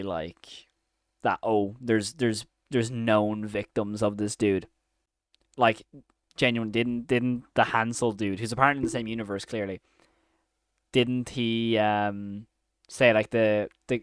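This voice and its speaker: A man hosting a commentary video, giving opinionated criticism, leaning on "of course" and "in my opinion" and turning loud and incredulous when he rants. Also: lowish voice, clear, resonant tone, dry recording, studio quality. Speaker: host and reviewer.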